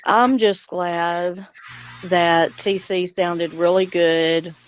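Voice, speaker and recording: A woman's voice: on the low side, steady tone, extremely slow, a little hiss or hum. A woman hosting a casual live chat stream, talking informally with her guests.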